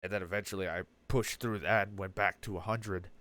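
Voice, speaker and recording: A young man with a low-leaning voice speaks through a badly stuffed-up nose. He rambles in a candid, self-deprecating way, circling back with fillers and restarts as he talks through his own feelings. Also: fairly even intonation, fairly quick, fairly bright tone. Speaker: streamer. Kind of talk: candid personal update, casual talk.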